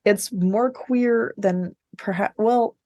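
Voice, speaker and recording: A woman's voice: mid-range voice, takes it slowly, dark tone, no echo. Woman podcaster, wry and very dry-sounding. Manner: informal, wry, with sweary asides. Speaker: podcast co-host. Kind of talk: casual book discussion chat.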